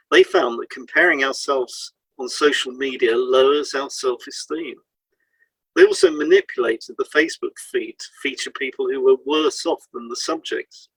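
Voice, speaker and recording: Husky man reading an educational script. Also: medium pitch, fairly bright tone, no echo, rough recording. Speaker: podcast co-host, hypnotherapist.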